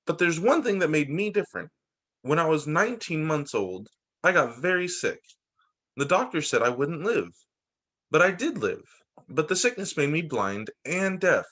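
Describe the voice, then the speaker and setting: Animated man reading aloud to children. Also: on the high side, moderate pace. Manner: animated, explains and checks in with young listeners. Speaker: teacher reading a picture book to students. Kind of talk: expressive children's read-aloud with asides.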